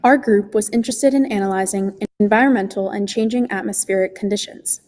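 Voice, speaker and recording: A teenage girl student giving a measured, formally read science presentation. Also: medium pitch, darkish tone, long reverb tail. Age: teens. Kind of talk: reading a scripted presentation.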